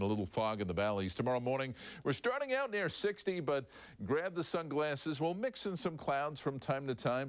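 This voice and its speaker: A man's voice: medium pitch, dry recording. A man with an American accent presenting a conversational live TV weather forecast.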